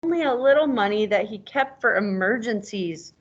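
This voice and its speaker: Lady teacher doing a read-aloud, bit husky, asks questions and explains words, muffled lo-fi audio. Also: medium pitch, lively intonation, moderate pace. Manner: conversational, explains words, checks understanding. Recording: dull lo-fi recording.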